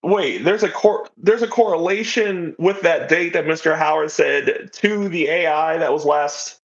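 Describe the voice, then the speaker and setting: A man's voice: on the high side, unhurried. A man hosting a podcast in a casual, conversational style, on a slightly dull recording. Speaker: podcast host. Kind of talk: casual chat.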